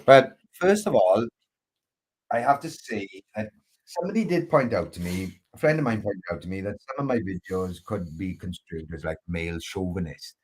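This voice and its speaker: A Welsh man chatting as co-host of a light call-in show. His voice sits low with a husky edge. He jokes about himself and trips over his own restarts. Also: expressive, normal speed, poor audio. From Wales. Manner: jokey, self-deprecating, stumbling restarts. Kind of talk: casual chat.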